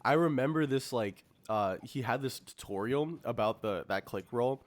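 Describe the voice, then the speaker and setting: A man's voice: mid-range voice, moderate pace. A young man co-hosting a podcast, chatting casually and telling stories in informal, slangy speech. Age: young adult. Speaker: podcast co-host. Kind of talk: casual chat.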